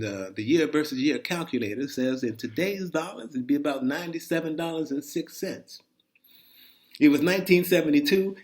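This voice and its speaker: A man reading a first-person story in a conversational, folksy way, animated and full of asides to the listener. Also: a little high. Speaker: reader of a personal chronicle.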